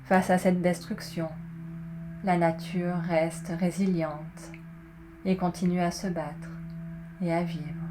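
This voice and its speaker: A woman guiding a relaxation session in a soothing, measured way, pausing between short phrases as she narrates. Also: lowish voice. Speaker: yoga nidra guide. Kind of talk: guided relaxation, scripted narration. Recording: clearly noisy, ambient tones behind. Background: meditation music with singing bowl tones.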